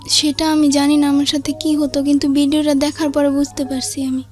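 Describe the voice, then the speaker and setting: A woman's voice: sits high, level tone, fairly quick, darkish tone, soft background music. A schoolgirl with a Bangladeshi accent answering an interviewer's questions in brief, reserved replies. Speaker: young guest answering questions.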